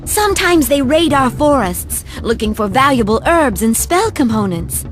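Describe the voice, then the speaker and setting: High-pitched, urgent forest maiden. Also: female voice, big pitch swings, moderate pace, faint music behind. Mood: urgent worry. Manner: urgent, dramatic. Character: distressed, earnest young woman of the forest. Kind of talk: video game cutscene acting.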